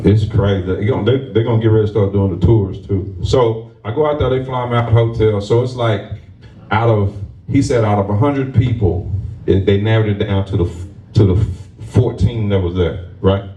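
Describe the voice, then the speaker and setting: A man, a stage actor, tells a lively story to a live audience with laughs, then closes with motivational encouragement. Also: lowish voice, moderate pace, highs cut off. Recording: roomy sound from a distant mic.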